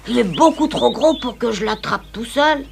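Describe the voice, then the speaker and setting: A woman's voice: a little high, animated, normal speed, vocal fry, slight background noise. A woman playing a scheming, bossy orphan boy in a French cartoon, streetwise and conspiratorial. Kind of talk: French cartoon dub acting.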